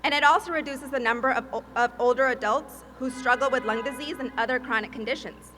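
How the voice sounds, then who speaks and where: A woman from Peru, a mother and advocate, giving a prepared speech at a public event in an earnest, deliberate way. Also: high voice, pushed and bright, soft background music. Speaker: advocacy field manager and mother.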